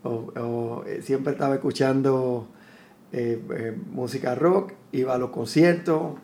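A Puerto Rican man in his fifties, a military veteran, telling his life story in a reflective, unhurried way, often restarting his sentences. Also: medium pitch, fairly even intonation, creaky, warm tone. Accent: Puerto Rican Spanish. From Puerto Rico.

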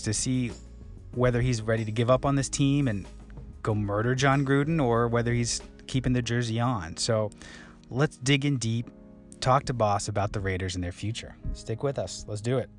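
A man's voice: mid-range voice, normal speed, soft background music. A man hosting a casual podcast interview, playful and wisecracking. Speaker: podcast host.

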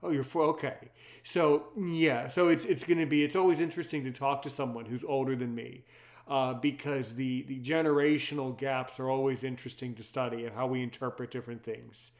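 Brief: man in his 30s, native French speaker, deep earnest voice, reflective casual chat. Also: fairly even intonation, brisk, darkish tone. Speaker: conversation partner.

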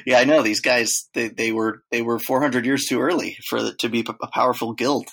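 A man's voice: mid-range voice, steady tone, a little fast, vocal fry, fairly bright tone. A man co-hosting a casual chat, telling rambling travel stories with lots of fillers and acted-out quotes. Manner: rambling storyteller, acts out quotes, many fillers.

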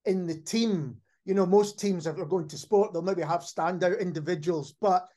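A man's voice: sits high, normal speed, dark tone. A man hosting a casual podcast interview in a reflective, agreeable way. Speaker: podcast host.

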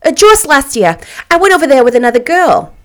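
A woman's voice: high voice, sing-song, brisk, fairly bright tone, voice pushed up. A woman voicing a gossipy, worldly young woman in a storybook, confiding and emphatic as she chats. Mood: chatty, lively.

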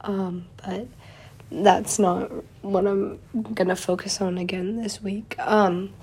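Stuffy-voiced young woman, slow and mellow. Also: medium pitch, flat delivery, slightly rough. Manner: chatty, frequent fillers. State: slightly congested from a cold. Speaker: podcast host. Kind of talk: casual solo podcast talk.

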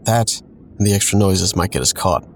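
Low-voiced, wry, sardonic narrator. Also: male voice, normal speed, vocal fry, a little hiss or hum. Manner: sardonic, digressive. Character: wry, self-deprecating man narrating his reckless youth. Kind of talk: scripted first-person audio drama narration.

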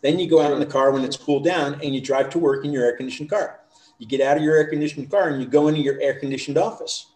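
A man's voice: on the high side, fast, darkish tone, echoey room, poor audio. A middle-aged man from the United States, chatting easily as a podcast guest. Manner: easygoing, chatty storytelling. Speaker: interview guest. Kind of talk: casual chat.

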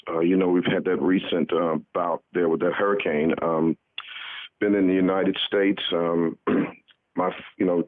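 An older man in his sixties from the Bahamas, a guest pastor talking in an earnest, measured, halting interview style. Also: sits low, level tone. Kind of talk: conversational radio interview. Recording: thin, muffled phone line.

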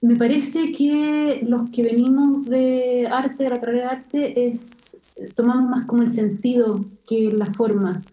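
A woman from Chile with a Chilean Spanish accent, an illustrator speaking thoughtfully as an interview guest and pausing often with fillers. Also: on the high side, fairly even intonation, dull audio. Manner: thoughtful, hesitant with many fillers. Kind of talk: casual interview conversation.

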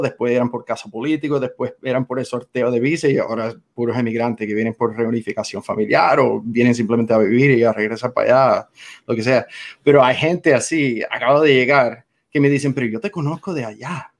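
A man's voice: mid-range voice, fairly quick. An American man in his forties, speaking Spanish with a Cuban accent, telling stories as a guest on an interview show. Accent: Cuban-influenced Spanish. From United States. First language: English. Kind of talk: casual interview storytelling.

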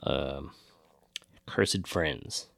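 A man's voice: a bass voice, very slow, vocal fry, a bit bright, dry recording. A man co-hosting a casual chat about films, talking informally and swearing freely. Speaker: podcast co-host.

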